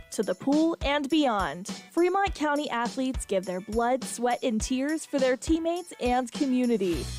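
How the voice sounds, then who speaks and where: A woman announcer reading scripted radio ads in a polished promo style. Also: high-pitched.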